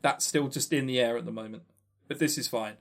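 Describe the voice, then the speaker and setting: A man's voice: mid-range voice, steady tone, brisk. A man with a southern English accent chatting casually as a podcast co-host, blunt and emphatic, given to swearing. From Brighton, England. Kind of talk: casual opinionated podcast chat.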